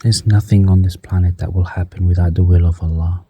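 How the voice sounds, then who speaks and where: A man guiding a breathing meditation in a slow, soft-spoken manner, counting the breaths aloud and dropping some counts to a whisper. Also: deep voice, fairly even intonation, husky, muffled recording. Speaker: meditation guide.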